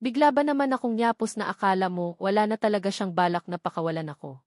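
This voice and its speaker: A woman's voice: medium pitch, fast, darkish tone, no echo, clean studio sound. A woman with a Filipino accent reading a first-person romance novel as a scripted audiobook narration.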